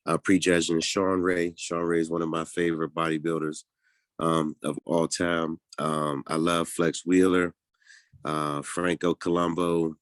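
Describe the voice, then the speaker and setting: A man with a very low voice speaks in a relaxed, easygoing way, full of ums and small restarts as he tells his story. He is in his thirties and from Pittsburgh, recorded dry and close. It sounds like a casual podcast interview. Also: dry recording. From Pittsburgh, United States. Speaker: podcast guest, bodybuilder.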